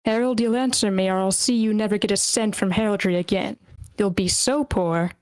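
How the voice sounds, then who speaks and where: A woman's voice: medium pitch, brisk, darkish tone, clean tone, lightly acted, rough recording. A woman playing a haughty, disdainful rich noble in a screenplay table read over an online call. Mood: imperious, threatening.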